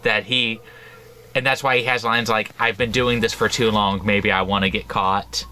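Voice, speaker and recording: A man's voice: lowish voice, fairly even intonation, moderate pace, sharp tone, faint music behind, rough recording. A man co-hosting a horror book podcast, chatting casually with many restarts and frequent laughs. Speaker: podcast co-host. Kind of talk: casual chat.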